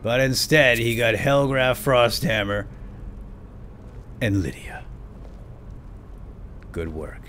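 Theatrical man, lively swings, game noise behind. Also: medium pitch, slow, pauses often. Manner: theatrical, playful, talks to his in-game companion. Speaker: gaming video host. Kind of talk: lightly role-played let's play commentary. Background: game ambience and effects.

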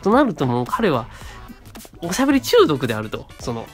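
A man's voice: fairly high pitch, huge pitch swings, a little fast, faint music behind. A man around thirty co-hosting a casual radio show, talking in short phrases with frequent little tag words.

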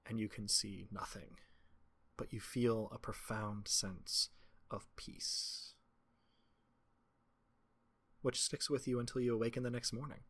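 A man's voice: on the low side, steady tone, measured pace, long pauses, fairly bright tone, husky. A man narrating as game master and hosting a tabletop role-playing podcast.

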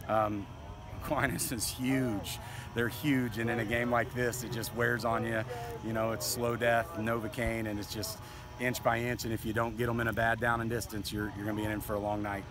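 Measured coach in his forties, outdoors at night. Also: medium pitch, little pitch movement, over music. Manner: measured, candid after a loss. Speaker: high school football coach. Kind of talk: post-game interview. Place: football field at night, outdoors. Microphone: handheld camera close up.

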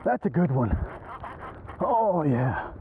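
A young American man chatting casually and excitedly in an informal, slangy way, like an angler vlogging outdoors. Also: fairly high pitch, slow. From East Texas, United States. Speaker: angler filming a fishing video. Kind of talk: casual outdoor vlog chat. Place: outdoors at a swampy pond bank. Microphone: body-worn action camera. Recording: muffled narrow-band action-camera audio, outdoor noise.

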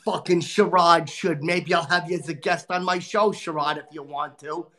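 A man playing a crude, boastful, short-tempered male comic, cursing and griping as he hosts a livestream in a nasal, gravelly put-on voice. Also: a little high, steady tone, normal speed, bright, forceful tone. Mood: crude ranting. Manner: profane, insulting, exasperated rants. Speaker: livestream host. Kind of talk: livestream comedy character rant.

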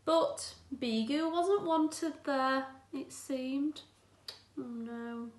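A woman's voice: high-pitched, extremely slow. A woman, reading a picture book aloud to young children as an infant teacher, expressively and with encouraging asides. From England. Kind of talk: children's storybook read-aloud. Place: small room at home, indoors. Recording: roomy, echoey indoor sound.

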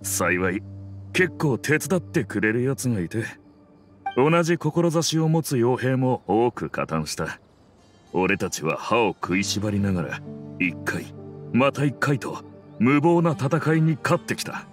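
A man voicing a loyal, battle-worn mercenary in a video game, recounting things in a gruff, matter-of-fact way. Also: medium pitch, big pitch swings, a little slow. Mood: determined. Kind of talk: dramatic game acting. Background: soft music.

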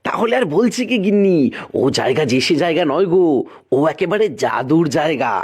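A man's voice: fairly high pitch, very animated, brisk, husky. A man playing a poor, anxious, scheming village farmer in a cartoon, with a theatrical, coaxing way of talking. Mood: coaxing, persuading. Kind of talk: cartoon voice acting.

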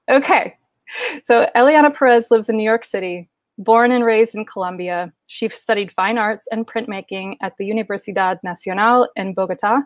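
A woman's voice: medium pitch, no echo. Middle-aged woman hosting, close dry sound. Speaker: host. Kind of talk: online program introduction, casual presenting. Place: home room indoors, kitchen shelves behind. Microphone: video call, wearing headphones.

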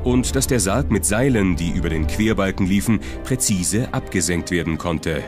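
A man with a low voice narrates a documentary from a script. He speaks as the main narrator, with prominent music playing behind him. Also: animated, moderate pace.

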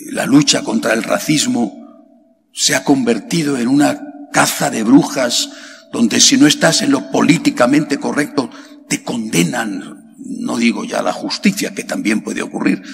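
Middle-aged priest, unhurried homily with gravelly edge, large chapel reverb, faint hiss. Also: male voice. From Spain. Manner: slow and emphatic, rhetorical questions, pitch leaps on key words. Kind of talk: sermon. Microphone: stand mic at lectern. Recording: long hall reverb, faint background hiss.

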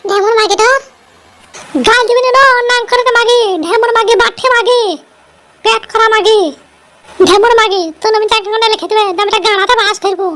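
A quarrelsome cartoon village wife , acted by a woman, with a piercingly high pitch. She scolds and abuses in quick bursts. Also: fairly even intonation, a bit bright. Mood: spiteful. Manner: scolding, abusive. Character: shrill quarrelsome young village wife and mother. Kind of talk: amateur comic cartoon dubbing.

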